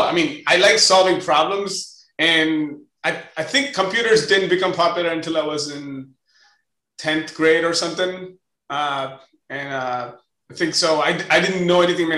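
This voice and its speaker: A man in his forties with an Indian accent talks casually as an interview guest. His voice is bright and a little high for a man. The sound is roomy and echoey. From Chennai, India. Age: 40s. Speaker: interview guest, company co-founder. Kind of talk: casual conversational storytelling.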